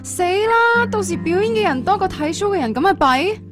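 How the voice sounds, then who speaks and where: High-voiced headstrong young woman. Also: expressive, moderate pace, over loud music. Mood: panicky. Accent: Hong Kong. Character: defiant, idealistic young female band member, headstrong daughter. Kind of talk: radio drama acting.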